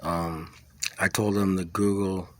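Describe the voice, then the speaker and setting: A man with a low voice and very little melody delivers a personal monologue for his video channel. He rambles and halts, often searching for the next word. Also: flat delivery, fairly bright tone, dry recording. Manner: halting, searching for words. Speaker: video creator recounting own experience.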